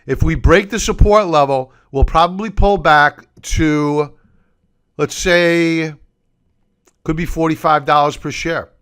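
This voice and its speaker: A hoarse, middle-aged man, losing his voice, hosting a solo stock-market recap over charts and walking viewers through his reasoning. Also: a little high, fairly bright tone. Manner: deliberate, explanatory, addresses viewers as folks. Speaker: market commentary host.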